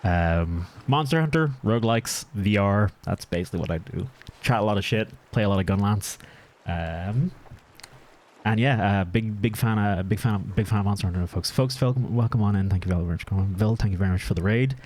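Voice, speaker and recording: A man's voice: fairly low pitch, brisk, darkish tone. A man with an Irish accent, chatting casually and self-deprecatingly with his audience as he streams a game, cursing often. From Ireland. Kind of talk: casual live-stream chat while gaming. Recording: music playing behind.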